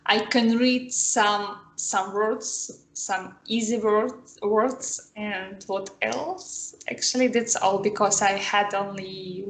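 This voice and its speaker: A woman's voice: mid-range voice, steady tone, slow, hardly any pauses, echoey room, slight background noise, rough recording. A woman whose first language is Spanish, a student in an online lesson, talking hesitantly with many fillers. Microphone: video call.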